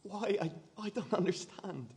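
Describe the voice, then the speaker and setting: A man's voice: high-pitched, normal speed, darkish tone. An actor playing a naive, frightened seventeen-year-old boy in a radio play, speaking hesitantly with stammers and pleading.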